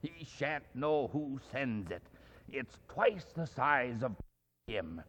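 A man reading aloud and voicing an elderly male miser, giddy with joy, with a husky voice and breathless exclamations and chuckles. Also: medium pitch, very animated, takes it slowly, a bit bright, in a large hall, dull audio, full character voice. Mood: hushed delight.

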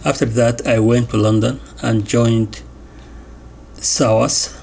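A man reading an interview transcript aloud in a measured way, announcing each question and answer. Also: mid-range voice, level tone, gravelly, darkish tone, slight background noise. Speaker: voice-over reader of an interview transcript.

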